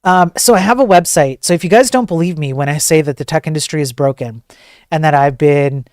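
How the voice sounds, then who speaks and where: A man with a soft voice chatting casually to viewers as a livestream host, in an informal, joking, rambling way. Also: fairly high pitch, a little fast, no room sound, clean studio sound.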